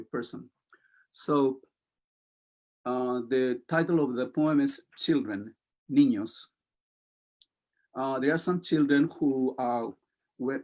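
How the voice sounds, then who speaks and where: A man with a Spanish accent, whose first language is Spanish, talking very slowly in a mid-range voice. He hesitates often and fills pauses, choosing his words with care as a poet being interviewed. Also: mid-range voice. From Chile. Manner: slow, hesitant, many fillers, soft-spoken. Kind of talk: casual interview, reading poetry aloud.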